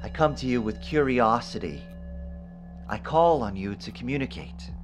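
An actor playing a solemn occult medium, intoning ritual invocations in a measured, ceremonial way for an audio drama. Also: male voice, mid-range voice, expressive, over music, close to an everyday voice. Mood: solemn, reverent.

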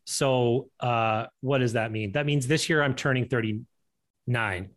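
A man's voice: mid-range voice, unhurried. A man in his thirties from Alberta, Canada, a guest on a video call, telling stories in a loose, laughing way full of fillers. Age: 30s. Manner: animated, laughing storyteller with many fillers. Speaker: guest, improviser and podcast host. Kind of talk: casual chat on a video call.

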